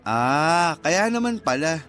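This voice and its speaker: A man's voice: fairly high pitch, very animated, slow pace, a bit bright, soft background music, heavily acted. A man playing a modest, obliging young mechanic in a Tagalog radio drama, polite and easygoing in manner. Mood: casual, bashful.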